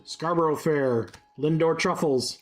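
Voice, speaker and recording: A man's voice: fairly high pitch, a little slow. A congested young man with a head cold, chatting upbeat on a live stream while he rattles off cards. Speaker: card break streamer. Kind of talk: live-stream card break commentary.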